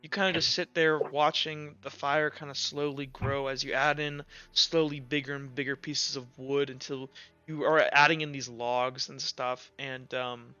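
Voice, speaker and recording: A man running a tabletop roleplaying game, improvising narration in a halting, rambling way as he thinks aloud. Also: medium pitch, level tone, sharp tone, clear, resonant tone, dry recording. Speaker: game master. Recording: dull lo-fi audio, music underneath. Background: music.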